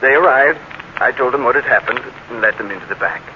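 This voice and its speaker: A man's voice: medium pitch, animated, fast talker, close to an everyday voice. An actor as a meek, nervous little man. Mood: nervous, insistent. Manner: hesitant, earnest, timid. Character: meek, nervous little businessman confessing earnestly. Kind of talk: 1940s radio drama acting. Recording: noisy, muffled 1940s radio recording.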